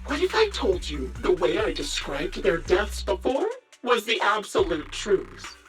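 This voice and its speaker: Sneering, wildly swooping villainess. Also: female voice, medium pitch, big pitch swings, a little slow, far from the mic, faint music behind. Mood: gleeful taunting. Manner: taunting, theatrical, sneering. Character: cruel, mocking female criminal villain who relishes tormenting. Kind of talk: video game voice acting.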